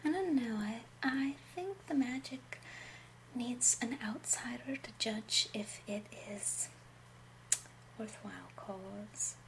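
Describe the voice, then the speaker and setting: A woman playing a genteel, confiding love-potion witch in an ASMR roleplay, speaking gently in a breathy half-whisper. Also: fairly high pitch, slight background noise, low-quality recording. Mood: intimate, confiding. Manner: slow, gentle, unhurried, confiding. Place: small home room, indoors.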